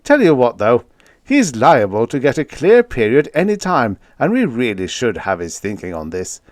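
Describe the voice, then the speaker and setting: A man's voice: medium pitch, wildly swooping pitch, moderate pace, no room sound, clean studio sound, voice pushed up. A man voicing a genial, avuncular elder president in an audiobook, with an emphatic, hearty manner. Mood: hearty, eager. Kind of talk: audiobook character acting.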